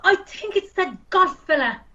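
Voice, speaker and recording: A woman with an Irish accent playing an irate, sarcastic, sharp-tongued racehorse owner in an amateur radio play. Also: very high voice, lively intonation, a little slow, highs cut off, exaggerated voice. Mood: indignant. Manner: indignant, sarcastic, scornful.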